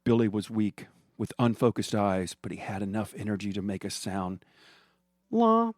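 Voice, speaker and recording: A man's voice: fairly low pitch, flat delivery, moderate pace, mellow, no room sound, studio quality. A man narrating a scripted comic horror story as its storyteller.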